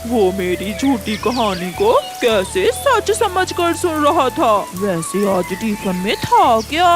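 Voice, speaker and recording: A woman's voice: on the high side, sing-song, takes it slowly, over music. A woman voicing a sly, boastful male crow thief in a dramatic cartoon style. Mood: gleeful. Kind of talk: animated moral story character acting.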